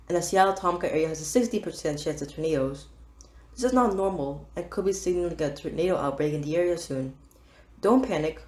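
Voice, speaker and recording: A woman's voice: fairly low pitch, a little mellow, close to an everyday voice. A woman playing a news forecaster who gives scripted storm updates in a reassuring, matter-of-fact manner, with an echoing sound. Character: news forecaster Ken Davis giving calm storm updates.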